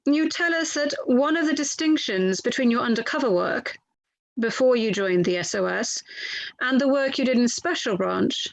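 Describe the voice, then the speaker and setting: A woman reads a polite lawyer questioning a witness at a formal inquiry. The voice is on the high side and recorded very dry. The delivery is methodical and slightly halting, like reading from a transcript. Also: expressive, creaky, no echo, rough recording.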